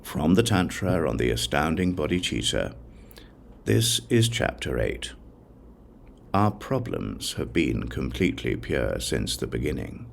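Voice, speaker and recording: A man reading a religious text as an audiobook narrator, in a solemn, measured recitation. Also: a bass voice, sing-song, vocal fry, a little hiss or hum. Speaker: audiobook reader.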